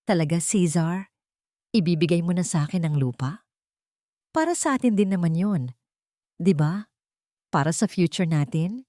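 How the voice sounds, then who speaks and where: A woman's voice: fairly low pitch, expressive, moderate pace, long pauses, dark tone, dry recording, clean studio sound, lightly acted. A woman voicing a greedy, scheming young woman who turns sweet talk into cold menace. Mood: eager. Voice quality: honeyed then cold whisper.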